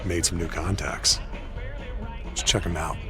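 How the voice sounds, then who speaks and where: Low businesslike merc, loud music over him, game scene. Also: male voice, fairly even intonation, unhurried. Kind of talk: video game cinematic acting.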